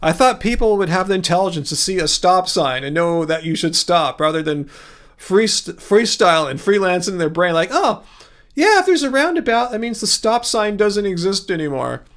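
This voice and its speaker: A man with a Californian accent and a fairly high voice hosts a livestream. He talks in a loose, easygoing way, drifting between thoughts as he answers comments. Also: lively intonation, normal speed, fairly bright tone. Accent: Californian American. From California, United States. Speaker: livestream host. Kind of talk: casual livestream chat, replying to viewer comments.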